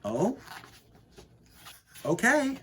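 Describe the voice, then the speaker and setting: A man's voice: very high voice, huge pitch swings, drawn-out words, sharp tone, slight background noise, voice pushed up, heavily acted. A man voicing an anxious, wondering little boy in a storybook, pleading and questioning. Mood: puzzled.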